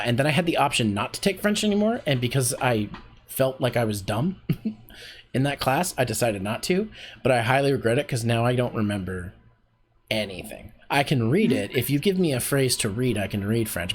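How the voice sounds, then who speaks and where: A man's voice: medium pitch, big pitch swings, a little fast. A man hosting a casual voice acting workshop on a livestream, chatty and self-deprecating, often laughing at himself.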